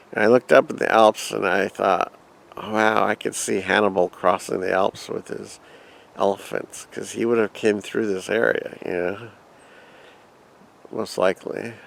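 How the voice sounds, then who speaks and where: A man's voice: low-pitched, creaky. A man casually telling a rambling personal travel story, reminiscing and quoting his own past thoughts. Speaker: vlogger.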